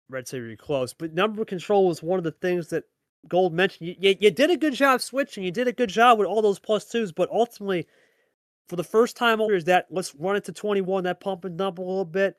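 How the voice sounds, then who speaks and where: A man's voice: high voice, no echo, studio quality. A man hosting and commentating on a card game match, hyping it up and repeating phrases for emphasis. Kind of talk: live play-by-play commentary and player interviews.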